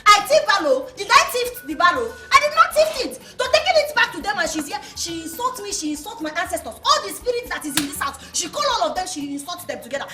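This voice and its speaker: A woman's voice: very high voice, roomy sound. A woman with a Nigerian accent playing a hot-tempered, boastful village woman who scolds and threatens in pidgin English. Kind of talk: comedy skit acting.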